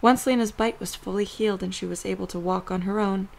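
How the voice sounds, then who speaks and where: A woman's voice: medium pitch, steady tone, fast talker, creaky. A woman narrator reading a scripted story for an audio drama.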